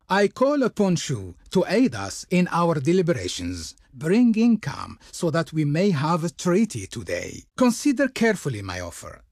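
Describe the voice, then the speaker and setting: Haughty ancient egyptian diplomat from a strategy game, super pompous n florid, voice swoops all over, close dry studio sound. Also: male voice, on the high side, husky, dry recording, exaggerated voice. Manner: pompous, declamatory, ornate. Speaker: video game voice actor. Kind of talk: theatrical historical strategy game diplomacy lines.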